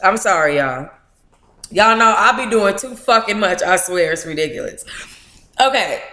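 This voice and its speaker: An American woman reads aloud as a book club host in an echoey room. Her delivery is informal and animated, moving between narration and playful, blunt asides. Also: mid-range voice, normal speed. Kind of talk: informal read-aloud with candid, profane asides.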